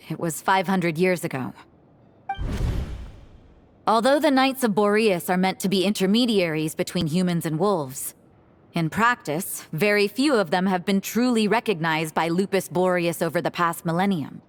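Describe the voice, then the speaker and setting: A woman's voice: fairly low pitch, normal speed, close to an everyday voice. A woman voicing a cool, blunt young nun in a video game, with a dry, matter-of-fact delivery.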